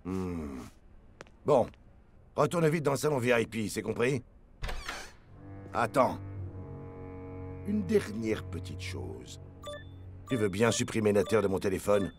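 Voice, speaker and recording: Cartoon coach: stern, exasperated, gravelly, bossy, slowish, with huge pitch swings. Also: male voice, big pitch swings, soft background music, exaggerated voice. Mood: grumbling. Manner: bossy, threatening, grumbling. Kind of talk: French cartoon dub acting.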